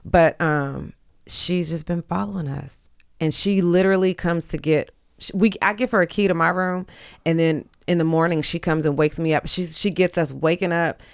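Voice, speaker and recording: Female podcast guest, gravelly fry, smooth clear tone, dry close sound, casual sweary slang. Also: on the low side, steady tone, moderate pace, no room sound. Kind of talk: casual chat.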